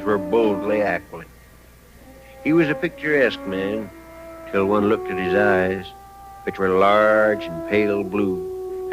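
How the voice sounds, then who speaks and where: Deep male narrator over music. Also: poor audio. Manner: measured, literary. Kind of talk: scripted old-time radio western narration.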